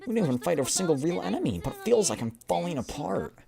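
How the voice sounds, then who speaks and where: A woman's voice: sits low, fast talker, vocal fry, a crowd in the background, voice pushed up, full character voice. A woman voicing a bubbly female android, a playful, clingy older sister who teases and coaxes in a game story. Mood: weary, whiny. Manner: playful, animated, coaxing.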